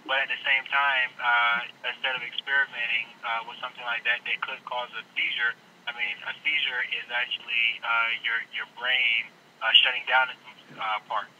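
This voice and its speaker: A man working in store tech support, explaining things patiently and politely in halting phrases over a telephone. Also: mid-range voice, little pitch movement, bright, forceful tone. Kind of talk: phone customer service, explaining. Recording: noisy telephone line, prank call recording.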